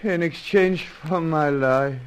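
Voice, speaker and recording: An actor playing a feeble, superstitious man on his deathbed, halting and groaning in broken English, in old radio serial style. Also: fairly high pitch, clean tone. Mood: feeble, pleading. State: weak, acting as if dying. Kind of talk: old-time radio adventure serial acting.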